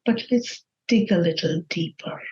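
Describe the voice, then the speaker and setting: Slow-speaking woman guiding a meditation, muffled sound. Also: fairly low pitch. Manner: gentle, measured, with long pauses. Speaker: meditation guide. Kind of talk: guided meditation.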